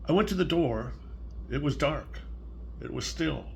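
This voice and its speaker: An older man talking gently and simply, as a grandparent reading a picture book aloud to a young child. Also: a little high, expressive, a little slow, vocal fry, a little hiss or hum. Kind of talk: casual read-aloud storytelling for a child.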